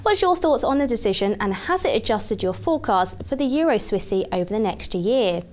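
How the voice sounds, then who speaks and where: A woman's voice: a little high, lively intonation, fairly quick. A woman presenting financial news and putting questions to a guest in a scripted, formal newsreader manner.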